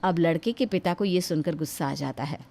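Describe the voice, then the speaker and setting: A woman's voice: lowish voice, brisk, over music. A woman narrating a scripted moral story between lines of dialogue.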